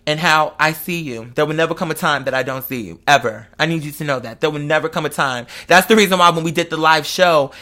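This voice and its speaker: High, bright-voiced man, emphatic and slangy. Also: quick pace, hardly any pauses. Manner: rambling, digressive. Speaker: online show host. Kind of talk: casual confessional chat to followers.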